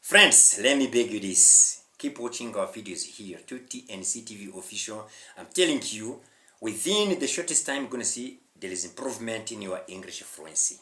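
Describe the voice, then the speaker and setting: A man's voice: lowish voice, expressive, normal speed, sharp tone. A man from Rwanda with a Rwandan accent, teaching an online English lesson emphatically, with repetition and short questioning interjections. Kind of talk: online language lesson, addressing viewers directly. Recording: echoey room.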